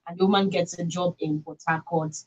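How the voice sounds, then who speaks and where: A woman's voice: fairly low pitch, fairly even intonation, fairly quick, lo-fi sound. A Nigerian woman hosting a live radio call-in show from a studio.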